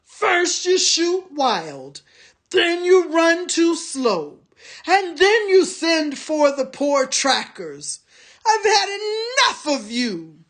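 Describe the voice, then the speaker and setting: Small whiny orc tracker, snarling and sulky, played by a woman, high and slow. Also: sing-song, full character voice. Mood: snarling, complaining. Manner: snarling, whining.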